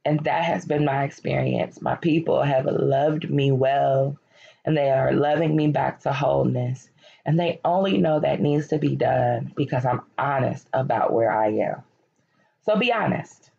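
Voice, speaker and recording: A woman in her late thirties talks candidly and encouragingly on her podcast, her voice hoarse and cracking. It is husky and full of gravelly fry. The recording sounds muffled and lo-fi. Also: a bass voice, unhurried, warm tone. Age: late 30s. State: losing her voice, slightly cracking. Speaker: podcast host. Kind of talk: personal reflective monologue. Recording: lo-fi, dull recording.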